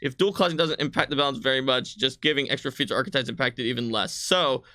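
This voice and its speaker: A man's voice: mid-range voice, quick pace, pushed and bright, dry recording, clean studio sound. A man speaking as a game designer in a casual livestream chat, explaining rules in a rambling, detailed way. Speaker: game designer answering viewer questions on a livestream.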